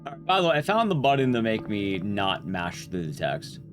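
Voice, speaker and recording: A man's voice: lowish voice, wildly swooping pitch, fairly quick. A man streaming a game, giving casual, rambling commentary to his chat with playful asides. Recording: loud music under the voice. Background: game and stream music.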